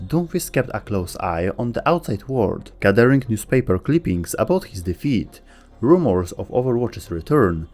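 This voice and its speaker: A man giving scripted, documentary-style narration for a game lore video. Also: mid-range voice.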